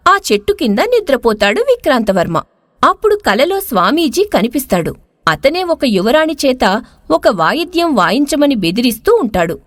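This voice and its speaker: A woman narrating a scripted moral story in an expressive storytelling manner. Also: high voice, dry recording, studio quality.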